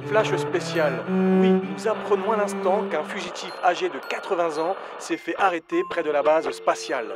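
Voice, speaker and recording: A formal bulletin announcer, played by a man, with a slightly raised pitch. Loud cello and bass music fills the background. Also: normal speed, hardly any pauses, lightly acted. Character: male news flash announcer. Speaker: news announcer.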